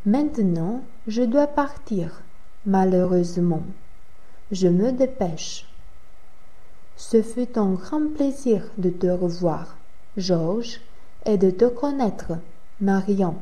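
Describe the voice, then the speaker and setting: A woman's voice: medium pitch, animated, slow, pauses often, warm tone, no room sound. A woman reading the part of Marie, an adult woman chatting about clothes and taste, in a careful, scripted lesson dialogue. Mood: matter-of-fact. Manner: careful, evenly paced reading.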